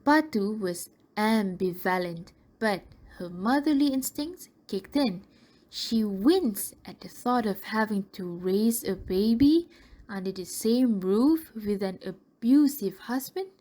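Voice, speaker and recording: A woman's voice: medium pitch, lively intonation, takes it slowly, mellow, low-quality recording. A woman narrating a radio drama in a scripted storytelling style.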